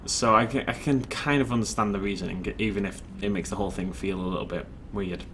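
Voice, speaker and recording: A man's voice: fairly low pitch, steady tone, fast talker, background noise. A man casually rambling while commenting on his own gameplay, chatty and self-deprecating. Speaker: let's play host.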